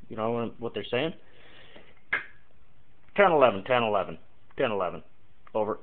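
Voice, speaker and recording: A man giving an informal, halting tutorial, thinking aloud as he explains and demonstrates example radio calls. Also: lowish voice. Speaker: amateur CB radio tutorial maker. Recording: muffled, tinny phone-like lo-fi audio.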